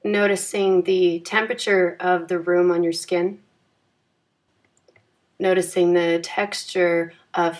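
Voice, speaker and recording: A woman leading a guided yoga nidra meditation in a calm, gentle, soothing manner with unhurried phrasing. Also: lowish voice.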